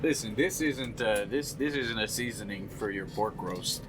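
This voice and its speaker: Low-voiced joking man over background noise. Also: normal speed. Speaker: player in a tabletop role-playing game. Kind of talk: casual in-character game chat.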